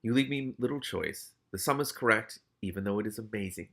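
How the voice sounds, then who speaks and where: A man's voice: on the low side, fairly quick, fairly bright tone. A man playing a tall, solemn, dryly puzzled skeletal reaper in a storybook reading, with formal, matter-of-fact speech.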